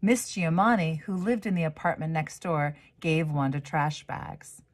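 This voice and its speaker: Low-voiced woman reading a picture book. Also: measured pace. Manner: animated, acts out the dialogue. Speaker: storyteller, reverend. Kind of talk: expressive read-aloud of a children's picture book.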